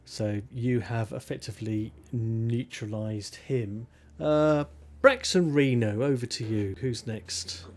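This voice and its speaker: A man with a Yorkshire accent, narrating and explaining rules as game master in a relaxed, chatty way. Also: medium pitch, slow pace, hardly any pauses.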